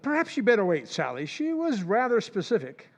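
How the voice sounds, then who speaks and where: A man with a lively, varied pitch plays a man acting as a gentle family doctor in a radio play. He delivers his lines hesitantly and gently, with small fillers. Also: fairly quick, heavily acted. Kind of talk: old-time radio drama recreation.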